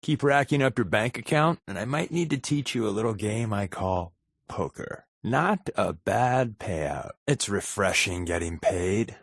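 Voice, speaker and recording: An actor playing a wry, sarcastic secret agent companion in a post-apocalyptic video game, with a deadpan, wisecracking manner. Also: male voice, on the low side, a little slow, no room sound, studio quality. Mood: wry, joking.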